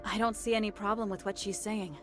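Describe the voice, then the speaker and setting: A woman's voice: medium pitch, steady tone, brisk, music in the background, natural voice. An actress playing a cool, pragmatic, watchful female squad member in an anime game, composed and matter-of-fact. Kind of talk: scripted anime game voice acting.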